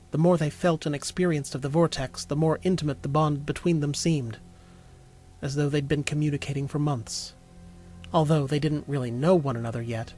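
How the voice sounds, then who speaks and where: A man's voice: fairly high pitch, darkish tone. A man reading scripted narration as the storyteller of an audio drama. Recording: music behind the voice.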